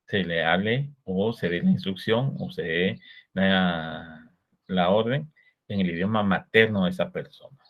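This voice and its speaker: A Guatemalan man with a low voice and a Guatemalan Spanish accent lectures on labor law in a measured, explanatory way, stopping to pose rhetorical questions. The recording sounds dull and lo-fi.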